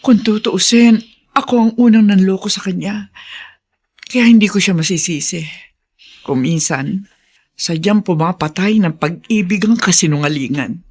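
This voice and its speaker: A woman's voice: medium pitch, normal speed, long pauses, darkish tone, natural voice. An actress playing a sorrowful, contrite middle-aged mother in a Filipino radio drama, confessing with earnest melodrama. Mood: sorrowful, resigned.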